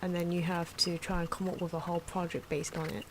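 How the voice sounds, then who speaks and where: Low-pitched soft-spoken woman, noisy recording. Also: flat delivery, quick pace. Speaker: artist narrating own drawing video. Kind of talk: soft-spoken ASMR drawing commentary. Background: pencil and marker drawing noises.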